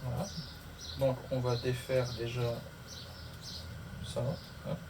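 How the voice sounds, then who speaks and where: Male vlogger, mid-pitched and unhurried, casual how-to chat muttered while working, picked up from a distance. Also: monotone. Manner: muttering to himself while working through steps. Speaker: motorcycle vlogger. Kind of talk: casual hands-on tutorial chat to camera. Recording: distant mic, clear background noise.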